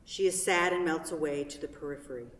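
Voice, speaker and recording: Lowish-voiced woman narrator in an echoey hall. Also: a little fast, a bit bright, slight background noise. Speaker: stage directions reader. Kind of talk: scripted narration at a staged reading. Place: theatre stage. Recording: very echoey hall, distant microphone.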